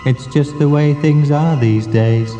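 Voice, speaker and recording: Dark-toned man telling a mock-dramatic tale. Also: fairly high pitch, unhurried. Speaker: storyteller. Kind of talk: scripted comic mock-mystery narration. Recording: echoey, long reverb, faint music behind. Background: faint music.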